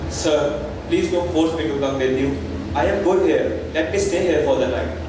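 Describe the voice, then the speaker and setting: A man's voice: on the high side, moderate pace, close to an everyday voice. A man playing a reluctant, uneasy vagabond peddler in an amateur stage play. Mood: uneasy, refusing. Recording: very echoey hall, distant mic, noisy.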